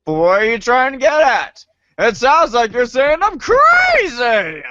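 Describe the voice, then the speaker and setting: A man playing an excitable, defensive, foul-mouthed teenage boy in amateur reader's theater, exclaiming and swinging from hesitant to indignant. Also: very high-pitched, measured pace, lo-fi sound, heavy background noise, heavily acted. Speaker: voice actor.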